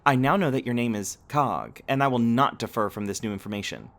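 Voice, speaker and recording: A man's voice: mid-range voice, expressive, brisk, creaky, a bit bright. A man playing a cheerful, literal-minded robot companion in a scripted sci-fi roleplay, speaking in formal, precise, over-explaining sentences. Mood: friendly, earnest.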